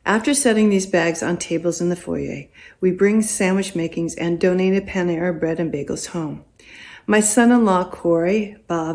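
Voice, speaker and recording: An older American woman reading a prepared personal testimony as a volunteer, in a deliberate, expressive storytelling way with pauses. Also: on the low side, creaky. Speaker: congregation volunteer giving testimony.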